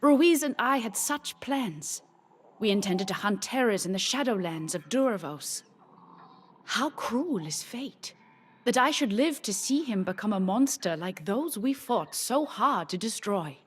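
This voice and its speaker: An actress playing a grieving, resolute monster hunter in a fantasy game, speaking in a solemn, dramatic, formal way. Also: female voice, medium pitch, animated, unhurried, slight background noise, natural voice. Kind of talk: fantasy game dialogue acting.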